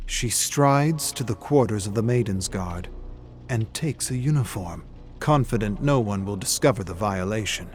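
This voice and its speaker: A man delivering grave, deliberate, theatrical narration of a dark fantasy story. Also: lowish voice. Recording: music behind the voice. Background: dramatic music.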